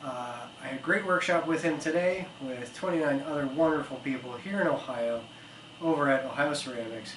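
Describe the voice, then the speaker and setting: A man with a cold and a raspy voice, casually explaining pottery as he throws pots on the wheel, thinking aloud with fillers and self-corrections. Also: medium pitch, fairly quick, poor audio. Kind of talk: casual instructional talk. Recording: loud background noise.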